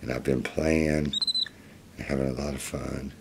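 A man speaking for a laid-back, reluctant pet monkey in a casual, deadpan way. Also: a bass voice, a little slow, creaky, very noisy, pitched below the performer's own voice, full character voice.

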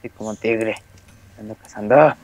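A man's voice: lowish voice, a little slow. A young man chatting playfully while playing a video game, teasing and laughing a lot. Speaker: gamer. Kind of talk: casual chat while gaming.

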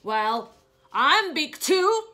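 A woman voicing a big, loyal, indignant elephant from a picture book, with emphatic, drawn-out declarations. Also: sits high, sing-song, sharp tone, slight background noise, voice pushed up, heavily acted. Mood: defiant.

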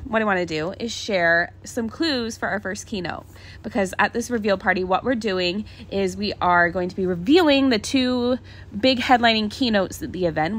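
A woman's voice: mid-range voice, fairly bright tone. Chatty, enthusiastic woman podcast host. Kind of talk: casual solo podcast talk.

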